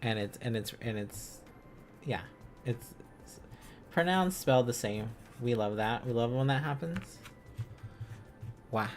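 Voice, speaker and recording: Male streamer, mid-range voice, unhurried and rambling, playful informal teaching with light background music. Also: mid-range voice, expressive. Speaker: live streamer. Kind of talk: casual live-stream lesson, thinking aloud.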